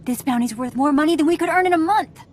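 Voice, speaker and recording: A woman's voice: sits high, fast, slight background noise, close to an everyday voice. A woman playing a cocky, greedy bounty hunter in a video game.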